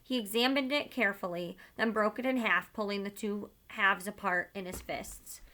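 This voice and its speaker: A woman's voice: mid-range voice, moderate pace, bright, forceful tone. A woman speaking as a teacher, talking informally to her pupils and reading a storybook aloud.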